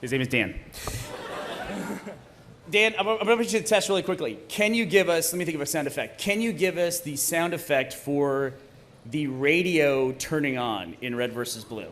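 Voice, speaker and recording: A man's voice: a little high, animated, moderate pace. A man hosting a live stage event, bantering with the audience in a playful, teasing manner. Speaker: host of a live table read. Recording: live stage PA with clear background noise.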